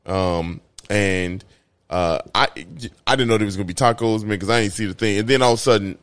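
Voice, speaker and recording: A man's voice: on the low side, fairly even intonation, a little fast, a bit bright, clean tone, no echo. A man with a Chicago accent co-hosting a casual food-review chat, joking and laughing in playful slang. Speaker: podcast co-host.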